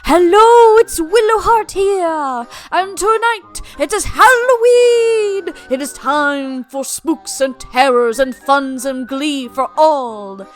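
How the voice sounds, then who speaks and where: Exuberant woman host, fairly high voice. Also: lively intonation, slow pace, hardly any pauses, faint music behind. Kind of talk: theatrical show introduction to viewers.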